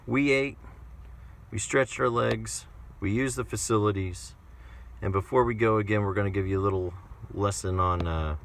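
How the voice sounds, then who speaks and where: A man's voice: lowish voice, moderate pace, gravelly. A man talking informally and in a rambling way, vlogging casually from inside a truck. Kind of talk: casual vlog talk. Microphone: handheld phone away from mouth. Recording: distant mic, noisy vehicle interior. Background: vehicle and ambient noise.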